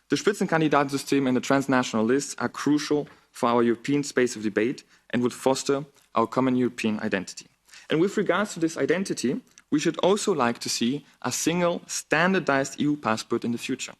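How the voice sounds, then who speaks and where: Young man, twenties, Bulgarian native speaker, formal earnest address, emphatic delivery. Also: medium pitch. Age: 20s. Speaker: youth delegate. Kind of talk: formal speech. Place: parliament chamber.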